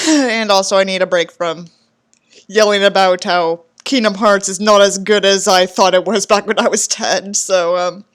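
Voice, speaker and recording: A woman's voice: mid-range voice, fairly even intonation, measured pace. A woman hosting a comedic reading with theatrical, sardonic commentary. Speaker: host of a comedic reading show. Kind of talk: sarcastic commentary on a dramatic reading.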